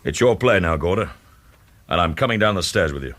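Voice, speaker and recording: Radio thriller heavy: big suspicious hard man, deep bass voice, curt and menacing delivery. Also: animated, a little fast, fairly bright tone. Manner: curt, clipped, threatening, sceptical.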